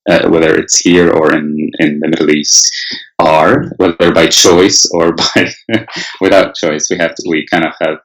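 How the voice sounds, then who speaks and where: Hesitant man with a very deep voice. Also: level tone, measured pace, fairly bright tone, poor audio. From Canada and Lebanon. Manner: hesitant, many fillers and restarts. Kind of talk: casual conversation.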